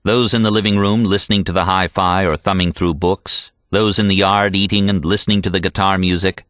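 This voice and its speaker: A man's voice: low voice, fairly even intonation, fairly quick, fairly bright tone, no echo, studio quality. An American man reading a literary novel aloud as an audiobook narrator.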